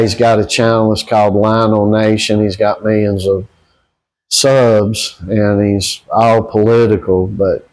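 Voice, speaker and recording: A man with a Southern US accent giving casual, rambling opinions to viewers in a halting, folksy, blunt way. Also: lowish voice, darkish tone, poor audio. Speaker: boxing channel host.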